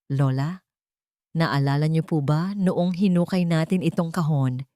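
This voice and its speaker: A woman's voice: low-pitched, unhurried, mellow, no echo, clean studio sound. A woman with a Filipino accent voicing a respectful, devoted young grandson in a story, polite and earnest. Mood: fond, respectful.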